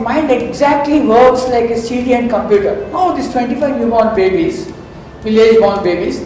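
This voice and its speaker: A man giving a formal lecture, speaking deliberately with many pauses and fillers. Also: high-pitched, darkish tone. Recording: echoey hall, distant mic, faint music.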